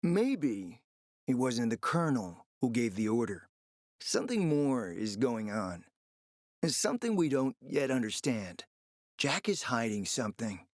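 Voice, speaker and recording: Suave, scheming spymaster, dry recording. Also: male voice, medium pitch, very animated, measured pace, dry recording. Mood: conspiratorial. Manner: smooth, theatrical, sardonic and teasing. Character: suave, flirtatious, scheming male spymaster and smuggler. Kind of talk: video game character acting.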